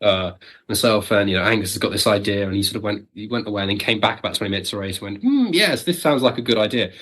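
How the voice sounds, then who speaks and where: A man with a fairly low voice talks casually as an interview guest. He thinks aloud as he speaks, qualifying his points in a conversational way. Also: animated, quick pace, fairly bright tone. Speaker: guest, audio drama producer.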